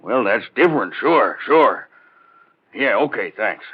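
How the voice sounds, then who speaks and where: Gruff desk cop, 1940s radio style. Slow, tired authority, dull vintage broadcast sound. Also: male voice, mid-range voice, animated. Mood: weary, businesslike. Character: gruff, world-weary male police desk captain. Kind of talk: 1940s radio drama acting.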